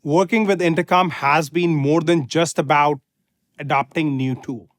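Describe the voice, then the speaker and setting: A man delivering a scripted corporate testimonial in measured, separate phrases. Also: fairly high pitch, steady tone. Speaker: guest customer speaker.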